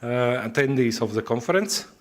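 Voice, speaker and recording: A man with a Latvian accent, moderating a conference and giving formal opening remarks in a hesitant way, with many pauses and fillers. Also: medium pitch, expressive.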